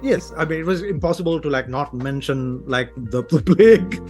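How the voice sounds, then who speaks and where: A man's voice: a little high, brisk, soft background music. A man from Sri Lanka speaking casually as an interview guest, thinking aloud in hesitant, broken phrases.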